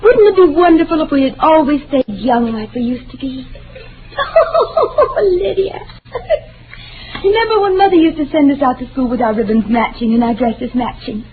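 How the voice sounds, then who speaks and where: A woman's voice: high-pitched, lively intonation, moderate pace. An actress playing a childlike, lonely woman of nearly forty in an old-time radio drama, with a timid, wistful, pleading manner. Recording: tinny, noisy old radio recording.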